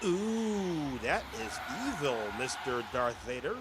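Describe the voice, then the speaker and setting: A man's voice: a little high, big pitch swings, slow, heavy background noise. A man hosting a casual video podcast, narrating footage in an upbeat, rambling announcer patter. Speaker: host.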